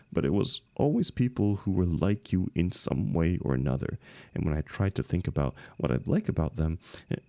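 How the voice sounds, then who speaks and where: A man acting a lovelorn young heartbreaker in an intimate, emotional audio roleplay, speaking haltingly and rawly to a longtime friend. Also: a bass voice, creaky, a little mellow, dry recording, heavily acted. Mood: regretful. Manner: halting, stammering, swearing, emotionally raw.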